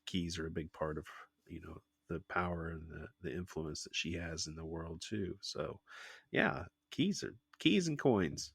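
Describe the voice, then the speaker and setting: A man's voice: low voice, animated, a little slow, gravelly, slightly rough, no room sound, studio quality. A male podcast host who is getting over a sinus infection, chatting enthusiastically and laughing often. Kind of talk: casual podcast interview.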